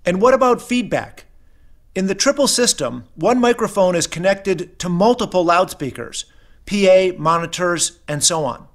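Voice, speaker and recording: Male narrator, slightly high voice, measured and precise delivery for an instructional product video. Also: animated. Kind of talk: scripted narration.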